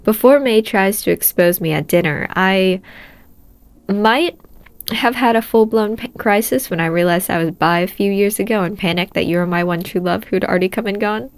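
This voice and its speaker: A woman's voice: mid-range voice, normal speed, no room sound, natural voice. An earnest teenage girl hero read by a woman from a story. She is affectionate and a little hesitant, stopping and restarting her phrases. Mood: fond. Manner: hesitant, filler-strewn dialogue.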